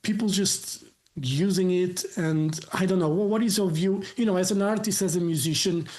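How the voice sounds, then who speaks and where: A man's voice: high voice, moderate pace, darkish tone. A man interviewing a musician in casual chat, rambling and leaning on "you know" fillers.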